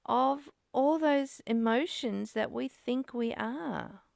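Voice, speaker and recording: Woman, spiritual study host, creaky higher voice, earnest, reflective questions, close dry sound. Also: slow, no echo. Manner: earnest, uses rhetorical questions. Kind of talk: informal spiritual teaching and discussion.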